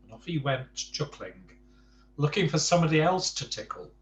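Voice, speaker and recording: A man's voice: fairly high pitch, big pitch swings, moderate pace, echoey room, a little hiss or hum. A man reading a children's bedtime story aloud, adding chatty asides to the listener.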